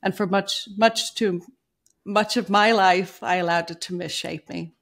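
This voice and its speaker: A woman's voice: fairly low pitch, fairly even intonation, unhurried, creaky. A woman in her fifties from Dublin with an Irish accent, a guest author speaking thoughtfully on a video call, with many fillers and easy laughs. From Dublin, Ireland. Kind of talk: conversational podcast interview. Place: home room, video call. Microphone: over-ear headphones, video call.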